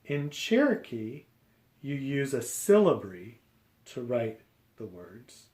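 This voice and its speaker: A man reading a picture book aloud to young children, explaining deliberately phrase by phrase. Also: medium pitch, big pitch swings, a little mellow, echoey room.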